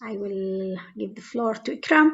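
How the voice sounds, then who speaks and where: A woman's voice: medium pitch, lively intonation, measured pace, fairly bright tone. A woman from Syria introducing herself informally as a team member in an online meeting. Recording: dull, lo-fi call audio.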